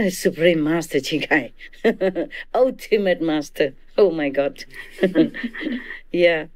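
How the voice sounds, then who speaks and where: A woman's voice: low voice, extremely slow, pauses often, no room sound. A woman with a Vietnamese accent giving an informal teaching to disciples. She laughs often and keeps checking in with her listeners. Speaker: spiritual teacher.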